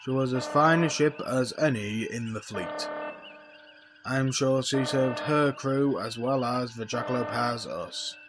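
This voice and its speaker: A man playing a steady, thoughtful rabbit starship captain in a sci-fi audio drama, with a measured, authoritative way of talking. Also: mid-range voice, over music, close to an everyday voice. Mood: commanding.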